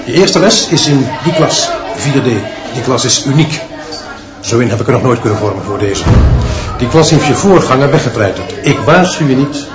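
A man's voice: mid-range voice, lively intonation, muffled recording, loud music behind the voice, over a noisy crowd, close to an everyday voice. Stern, pompous headmaster played by a man. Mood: commanding. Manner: stern, pompous, measured pronouncements. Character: authoritarian, unbending school headmaster obsessed with discipline. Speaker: actor in a radio drama. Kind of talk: Dutch radio play acting.

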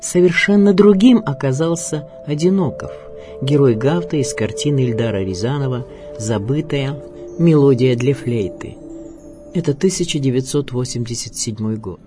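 A man narrating a scripted radio biography in a measured, expressive storytelling manner. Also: mid-range voice. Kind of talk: scripted documentary narration with dramatic readings. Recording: music bed under voice. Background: music.

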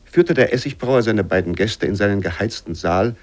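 Male radio-play narrator, fairly deep register, measured literary delivery, careful phrasing.

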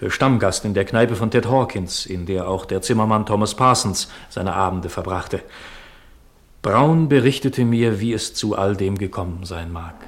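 An actor playing a dignified, bitter gentleman widower in a 1950s German radio drama, recounting things in formal, articulate speech. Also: fairly low pitch, normal speed, close to an everyday voice.

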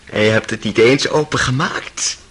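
A man's voice: medium pitch, a bit bright, breathy edge. An actor playing a bitter, tormented intellectual man in a radio play, sardonic and theatrical, brooding and given to outbursts. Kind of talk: dramatic radio play acting. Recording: noisy old radio play recording.

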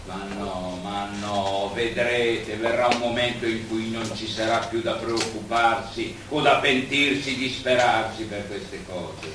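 An actor playing a gentle, cautious, idealistic doctor, soft-spoken and conciliatory, in a wartime radio drama. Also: male voice, lowish voice, steady tone, takes it slowly, few pauses. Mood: consoling, earnest. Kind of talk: stage-style radio play acting. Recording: echoey, distant, noisy room.

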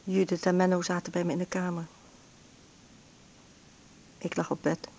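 Frail sick mother telling her story in a radio play, breathy half whisper, halting, noisy old recording with rumble. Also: level tone, darkish tone. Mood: weary, reflective. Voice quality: breathy, often dropping into whispers. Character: frail, ailing bedridden mother, weary and intense. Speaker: actress narrating in a radio play. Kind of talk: intimate first-person radio drama monologue.

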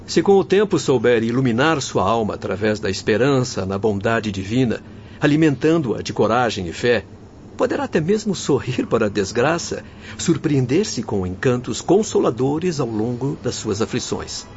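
A man's voice: mid-range voice, sing-song, normal speed, a little mellow, lightly acted. A man acting an earnest young doctor in a radio drama, with a solemn, exhorting manner. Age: young adult. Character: young doctor, earnest, energetic moral counsellor. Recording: dull lo-fi audio with noise.

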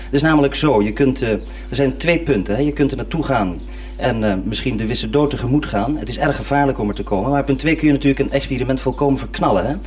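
A man's voice: mid-range voice, quick pace, few pauses, a little mellow. A man explaining things informally on the radio as a programme maker. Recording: distant, slightly noisy old radio recording.

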